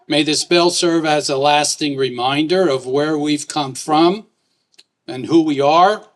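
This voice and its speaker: Man, ceremonial speech, formal and measured, slightly high voice. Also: a bit bright. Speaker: county commissioner. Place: outdoors at a courthouse.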